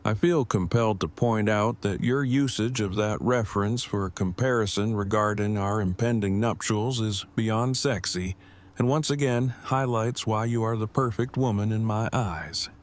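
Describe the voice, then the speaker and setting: A man acts out an earnest, devoted boyfriend in a post-apocalyptic audio drama. His voice is on the low side, and he talks in verbose, formal, carefully stilted sentences. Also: normal speed, natural voice. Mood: dry, affectionate. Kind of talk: fan audio drama acting.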